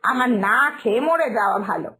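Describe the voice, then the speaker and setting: Woman acting a high-pitched, scornful Bengali mother-in-law. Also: big pitch swings, quick pace, gravelly, fairly bright tone, slight background noise, full character voice. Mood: indignant. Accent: West Bengal Bengali. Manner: disdainful, nagging, emphatic. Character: fussy, scornful middle-aged mother-in-law obsessed with cleanliness. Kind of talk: Bengali cartoon family drama acting.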